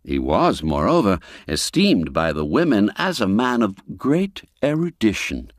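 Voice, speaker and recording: A man narrating a classic literary tale in a measured, expressive storyteller's manner. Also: fairly low pitch, no room sound, studio quality. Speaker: narrator and audiobook reader.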